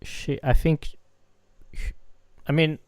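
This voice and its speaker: Slow, relaxed man coding on stream. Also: fairly high pitch, no room sound. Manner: relaxed, hesitant, many fillers. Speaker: game developer streamer. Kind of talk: casual live coding chat. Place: home room at a desk.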